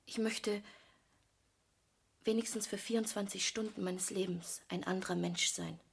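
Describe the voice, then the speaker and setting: A woman's voice: medium pitch, fairly even intonation, unhurried, gravelly, slight background noise. An actress playing a self-possessed, hard-bargaining woman painter in a German radio drama, cool and assertive. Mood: earnest, quiet. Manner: cool, assertive, matter-of-fact.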